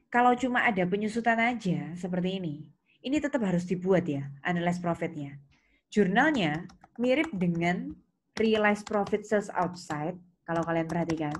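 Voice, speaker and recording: Woman teaching, noisy typing behind. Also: medium pitch. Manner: instructive, checks understanding with questions. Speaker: accounting teacher. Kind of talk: interactive lecture explaining worksheet entries. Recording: clear background noise, keyboard typing and clicks.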